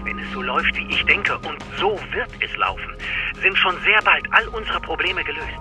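An actor playing an eager, conspiratorial male lover in a radio crime drama, speaking urgently and hushed over a telephone. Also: fairly high pitch, big pitch swings, normal speed, music in the background. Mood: excited, secretive.

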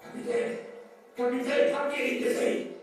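A man playing a panic-stricken helmsman, shouting frantically in a 1970s children's radio play. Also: level tone, moderate pace. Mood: panicked. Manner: frantic, high-pitched shouting. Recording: echoey, distant vinyl transfer with music.

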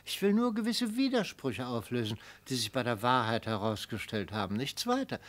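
An elderly prosecutor in a radio drama, with a mid-pitched voice that swoops widely. He is theatrical and insinuating, coating relentless questioning in silky, mocking friendliness and relishing every phrase. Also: very animated, moderate pace, a bit bright. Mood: probing. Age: older. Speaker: prosecutor in a mock trial. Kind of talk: German radio play acting.

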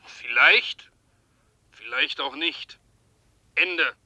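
An actor playing a defiant, embittered branch chief on a call link in a 1970s radio play, sharp and agitated. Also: male voice, a little high, drawn-out words, heavy background noise. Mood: curt.

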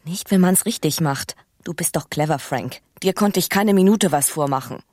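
An actress playing a bitter, passionate, scheming young wife in a naturalistic radio drama, speaking with urgent intimacy and slipping into whispers. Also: female voice, low-pitched, moderate pace, darkish tone, no echo, clean studio sound. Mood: brooding, coaxing.

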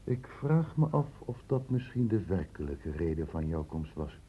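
An actor playing a stern, probing doctor in a Dutch radio drama, with measured, clinically precise questioning. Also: male voice, deep voice, big pitch swings, dark tone, husky, full character voice. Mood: probing, insistent. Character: probing, stern male doctor, calmly cornering a suspect. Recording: muffled phone-like old radio recording.

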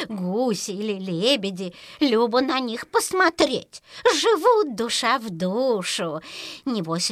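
Old mama duck in an animal fairy tale, high voice, super animated, fretful and reproachful. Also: female voice, dry recording, clean studio sound. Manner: emotional, reproachful, expressive. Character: fretful, scolding old mother duck, devoted wife. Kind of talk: audio storybook character acting.

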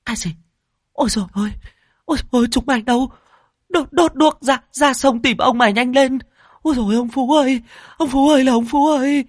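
A man voicing a shrill, panicked rich old woman who scolds a servant and screams for her drowned husband. She speaks fast in a very high voice. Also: fairly bright tone, no echo, studio quality. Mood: wailing panic. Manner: screeching, scolding.

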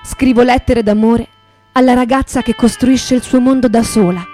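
Mellow woman reciting poetically over guitar. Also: on the high side, fairly even intonation. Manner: poetic, deliberate, repeating phrases like a litany. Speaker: radio theatre performer. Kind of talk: dramatic literary recitation. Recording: voice over acoustic guitar music. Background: acoustic guitar.

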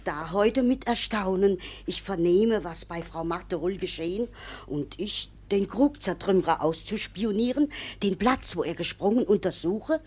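An actress playing a gossipy village woman in a 1950s radio play, telling a tale vividly, with a husky voice. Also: mid-range voice, animated, measured pace, darkish tone. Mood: insistent. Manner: breathless, vivid storytelling.

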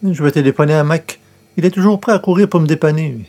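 A man plays a mild Québécois columnist in an old-time radio comedy. His voice sits a little high, with faint noise on the recording. He is polite and hesitant, with a dry, long-suffering irony. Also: fast, a little mellow, natural voice. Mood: exasperated, resigned. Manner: polite, dryly ironic, hesitant. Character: polite, wry, put-upon newspaper columnist, straight man. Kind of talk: comedy radio serial acting in 1940s manner.

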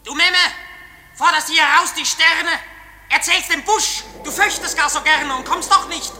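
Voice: very high-pitched, unhurried, bright, forceful tone, full character voice. An actor playing a gleeful, taunting male forest sprite in a classic German radio play. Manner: playful, taunting, theatrical verse delivery. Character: gleeful mischievous male forest sprite, servant to fairy king. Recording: reverberant hall, noisy radio play production.

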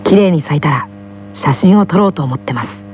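An actor playing a gentle, polite schoolboy who delivers a soft-spoken, friendly scripted school broadcast. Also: male voice, on the high side, lively intonation, fairly quick, lightly acted. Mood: calm, friendly. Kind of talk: scripted in-character radio monologue. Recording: dull lo-fi radio audio, faint noise.

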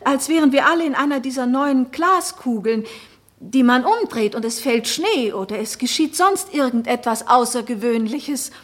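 Troubled noblewoman, measured and earnest, slightly high voice; radio play. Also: animated. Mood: reflective. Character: noblewoman haunted by a strange idea. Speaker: Florentine, married noblewoman. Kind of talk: radio drama acting.

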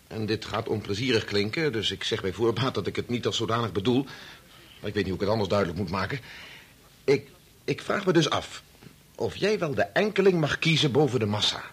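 A man's voice: mid-range voice, animated, brisk, slightly rough. An actor in a Dutch radio play, playing a bitter, impatient young man who argues and stammers when thrown. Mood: challenging. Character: bitter, impatient son working on his father's medical experiment.

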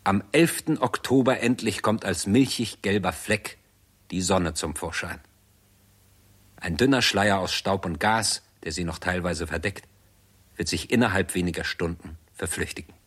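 An actor as the sober narrator of a 1960s science fiction radio play, husky and documentary in manner. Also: male voice, deep voice, moderate pace, a bit bright.

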